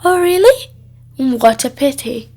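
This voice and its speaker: A woman's voice: sits high, animated, slow pace, darkish tone, pitched above the performer's own voice, full character voice. A woman voicing a house-proud, insincerely polite female witch in a children's storybook. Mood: falsely polite.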